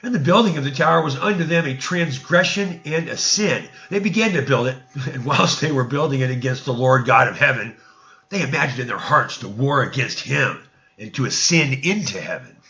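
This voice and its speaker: A dull, lo-fi recording of a man with a mid-pitched voice reading old scripture aloud. He narrates deliberately, like a storyteller, and now and then trips over a word. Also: animated, sharp tone. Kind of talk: read-aloud narrative of an ancient religious text.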